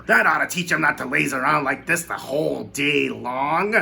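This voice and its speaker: A man's voice: a little high, normal speed, creaky, bright, forceful tone, slight background noise, pitched above the performer's own voice, heavily acted. A man voicing a fat, greedy aunt who schemes and bosses a boy around. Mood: scheming.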